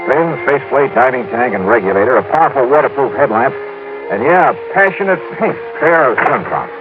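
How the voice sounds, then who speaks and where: A man's voice: medium pitch, expressive, a little fast, over music, close to an everyday voice. An actor playing a self-assured, wry insurance investigator in a 1950s radio drama, clipped and confident, narrating his case and talking it through. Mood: brisk narrating. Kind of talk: 1950s radio detective drama, first-person narration and dialogue. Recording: noisy, dull old-time radio recording.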